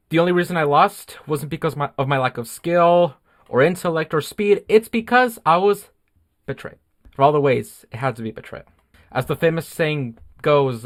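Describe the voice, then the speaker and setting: A young man casually telling his story in a vlog, chatty and full of "like" and "um". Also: mid-range voice. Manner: chatty, filler-heavy, lots of "like". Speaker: YouTuber recounting a game show.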